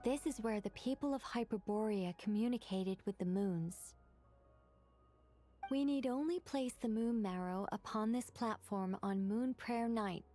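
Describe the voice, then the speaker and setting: Higher female voice, poised young scholar, formal explanatory delivery, video game lore, faint music behind, acted by a woman. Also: mellow, close to an everyday voice. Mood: earnest. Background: background music.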